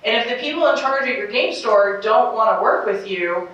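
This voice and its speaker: A woman's voice: on the high side, expressive, moderate pace, fairly bright tone. A woman speaking on a convention panel in a confident, playful way. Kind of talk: casual panel discussion. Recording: dull echoey hall from far off.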